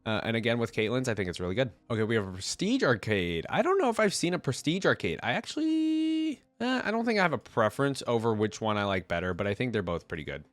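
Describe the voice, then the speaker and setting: A man's voice: medium pitch, sing-song, fast, few pauses, dry recording. A man with a congested, head-cold voice giving casual reaction commentary as a video host, thinking aloud in informal slang.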